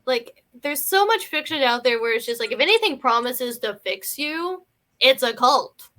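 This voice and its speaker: A woman's voice: high-pitched, animated, moderate pace, a bit bright. A woman chatting casually and wryly as a panelist in a movie discussion. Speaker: film podcast panelist.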